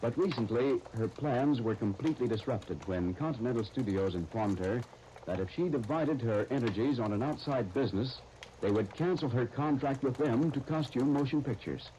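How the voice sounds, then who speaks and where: Animated, mellow 1930s American radio announcer man. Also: mid-range voice, moderate pace. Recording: noisy 1930s radio broadcast recording.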